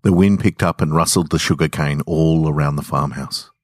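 A man narrating scripted short stories in a deliberate, measured, dramatic storytelling manner. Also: a bass voice, no room sound, clean studio sound. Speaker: podcast host reading short stories.

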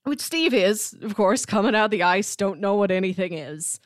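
A woman's voice: mid-range voice, animated, moderate pace, no room sound, studio quality. A woman co-hosting a podcast, discussing films in a casual, analytical, conversational way with asides. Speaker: podcast co-host debating films.

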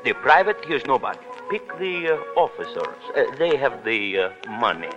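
A man's voice: mid-range voice, very animated, moderate pace, over music, lightly acted. A man playing a worldly, insinuating café proprietor in a 1930s radio drama. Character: oily, worldly café proprietor, foreign and advising. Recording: dull vintage 1930s radio recording.

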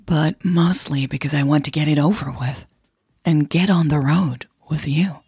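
A woman's voice: sits low, moderate pace, a little mellow, breathy edge, dry recording, exaggerated voice. A woman voicing an anxious but determined young woman in love, speaking hesitantly in an audio drama. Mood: nervous, resigned. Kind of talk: audio drama dialogue acted by narrator.

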